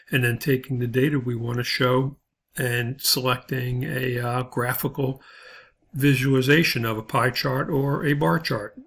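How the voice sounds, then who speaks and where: Male tutorial instructor, mid-pitched and creaky, talking learners through software steps in an informal, think-aloud way. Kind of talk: screen-recorded software tutorial, step-by-step.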